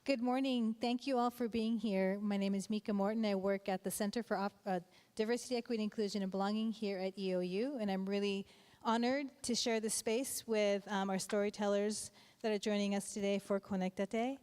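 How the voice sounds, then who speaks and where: A woman moderating a university panel, speaking in a relaxed, informal way with many fillers. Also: mid-range voice, brisk, smooth. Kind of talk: casual spoken introduction. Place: university event hall.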